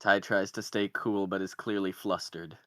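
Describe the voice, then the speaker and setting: A man with a low, forceful, bright voice reads out screenplay stage directions. The recording is dry and close, and the delivery is scripted narration for a table read. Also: fairly even intonation, moderate pace, no echo.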